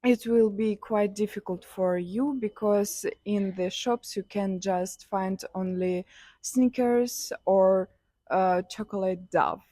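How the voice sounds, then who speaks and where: Young kazakh woman, slow and unsure, lots of uhs, dry close sound. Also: mid-range voice, steady tone, dark tone, no echo. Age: young adult. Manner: hesitant, many fillers, simple non-native English. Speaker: interviewee, student. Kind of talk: street interview answers.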